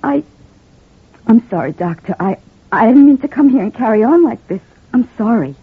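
An actress playing a scheming working-class wife in an old-time radio crime drama, talking in streetwise slang and sweetly feigning victimhood. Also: female voice, fairly high pitch, expressive, normal speed, slightly rough. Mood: plaintive, fretful. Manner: streetwise slangy, ungrammatical talk. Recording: muffled, phone-like vintage radio recording.

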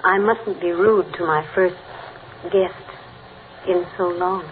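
An actress playing a lonely, wistful widow in an old radio drama, speaking in a hesitant, formal way. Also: female voice, on the low side, steady tone, takes it slowly. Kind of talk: 1940s-50s radio drama acting. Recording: tinny, faintly noisy vintage radio recording.

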